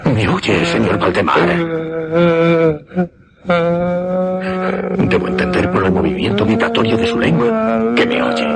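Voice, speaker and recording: An actor playing an intense mesmerist who recounts a macabre experiment in a suspense radio drama, with a Castilian accent and deliberate, emphatic delivery. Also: male voice, sits high, noisy background, full character voice. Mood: urgent, commanding. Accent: Castilian Spanish.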